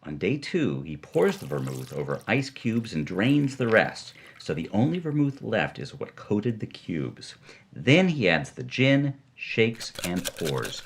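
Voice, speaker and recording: Male podcast co-host, very expressive intonation, middling-low voice, conversational storytelling. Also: measured pace, a little hiss or hum.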